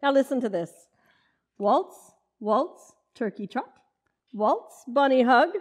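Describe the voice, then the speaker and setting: High-voiced, unhurried wry wife, played by a woman. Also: lively intonation, full character voice. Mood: fond, nostalgic. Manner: wry, gently chiding. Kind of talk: old-time radio comedy acting.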